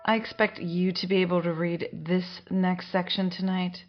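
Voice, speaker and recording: A woman's voice: lowish voice, level tone, moderate pace, loud music behind the voice, natural voice. A woman playing a demanding, overeager schoolteacher handing out work to her class. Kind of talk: amateur audio drama acting. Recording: muffled lo-fi recording.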